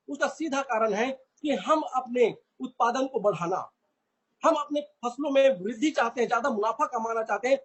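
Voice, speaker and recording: A man's voice: very high voice, fairly bright tone, slightly rough, low-quality recording. A man from India giving an earnest product training talk, addressing listeners as friends and repeating phrases.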